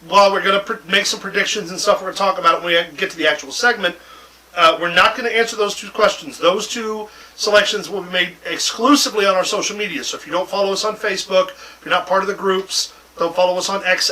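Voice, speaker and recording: Male wrestling talk host, high and piercingly bright voice, rowdy joker, free with swearing, slightly off-mic. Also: steady tone, quick pace, distant mic, rough recording. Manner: boisterous, jokey, profane. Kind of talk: casual banter and sports predictions.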